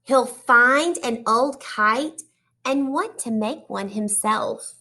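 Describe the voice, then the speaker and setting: A woman reading a children's storybook aloud like a teacher, expressive and deliberate, speaking directly to young listeners. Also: a little high. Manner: addresses children directly with questions and praise.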